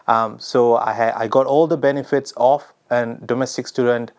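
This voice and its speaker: Young man, from Sri Lanka, smooth clear voice, casual vlog talk, lots of ums and uhs. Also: mid-range voice, steady tone, moderate pace, a little mellow. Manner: chatty and personal, with many ums and uhs. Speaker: immigration advice vlogger.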